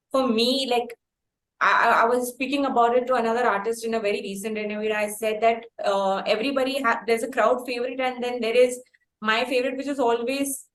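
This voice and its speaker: A woman with an Indian accent hosts a casual interview in a fairly high voice. She is effusive and wordy, often breaking her sentences into halting pieces. The room echoes around her, with faint noise behind. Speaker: podcast host interviewing a singer. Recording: roomy echo, faint background noise.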